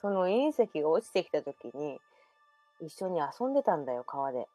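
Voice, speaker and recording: Woman acting a low-voiced girl revealing a past life, confiding tone, dry close sound. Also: big pitch swings, moderate pace, mellow, no echo, soft background music. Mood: mysterious. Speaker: radio drama performer. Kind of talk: amateur radio drama acting.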